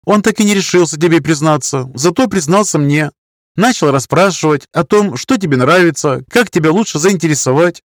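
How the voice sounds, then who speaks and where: A man's voice: on the high side, brisk, no room sound, studio quality, pitched above the performer's own voice, natural voice. A man voicing an anxious, defensive woman of nearly thirty in an audiobook, pleading and justifying herself. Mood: bitter. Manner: pleading, self-justifying, wordy. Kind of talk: audiobook character dialogue.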